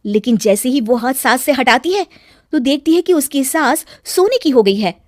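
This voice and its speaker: High-pitched woman narrating a children's story. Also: expressive, normal speed, a little mellow, no echo, studio quality.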